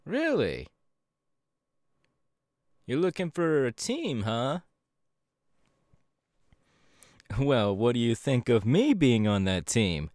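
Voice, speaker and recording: A man's voice: medium pitch, takes it slowly, pauses often, no echo, clean studio sound. A man playing an upbeat, easygoing young electric superhero in a casual, chatty audio roleplay. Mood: eager. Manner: casual, animated, hesitant with fillers. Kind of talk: audio roleplay, chatty anime character acting.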